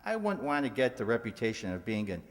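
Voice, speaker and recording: A man's voice: medium pitch, big pitch swings, fast talker, close to an everyday voice. A man playing a nervous, evasive professor who stammers apologies in a radio mystery play. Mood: flustered, apologetic. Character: nervous, evasive male college professor hiding something. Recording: reverberant hall sound.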